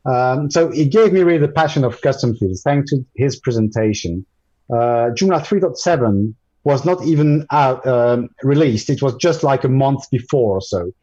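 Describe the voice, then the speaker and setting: Hesitant French-speaking man, mellow, muffled. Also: mid-range voice, expressive, normal speed, breathy edge. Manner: hesitant, many ums and restarts. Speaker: guest presenter. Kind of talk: live screen-share tutorial.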